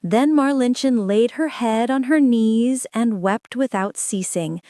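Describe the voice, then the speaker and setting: Lady reading a bedtime fairy tale, high lively voice, super dry close mic. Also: no echo. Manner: slow, expressive bedtime reading. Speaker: storyteller. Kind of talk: storybook read-aloud.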